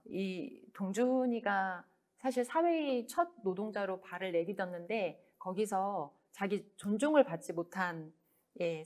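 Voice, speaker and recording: A woman author giving a book talk, speaking in a soft, measured way with many pauses and fillers. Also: lowish voice.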